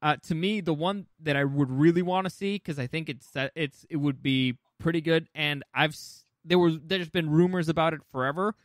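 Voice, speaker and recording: A man's voice: fairly high pitch, normal speed, few pauses, dry recording, studio quality. A man co-hosting a podcast, chatting casually and enthusiastically with some swearing. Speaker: podcast co-host. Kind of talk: casual conversational chat.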